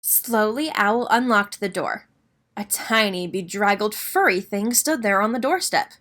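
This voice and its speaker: A woman reading a children's story aloud as an expressive narrator. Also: medium pitch, measured pace.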